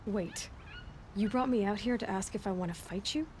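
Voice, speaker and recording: A woman playing a principled young huntress in a video game, speaking in an earnest, measured, frank way. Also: mid-range voice, darkish tone, a little hiss or hum, natural voice. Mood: wary. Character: level-headed young female huntress, guarded and principled. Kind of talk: naturalistic video game cutscene acting.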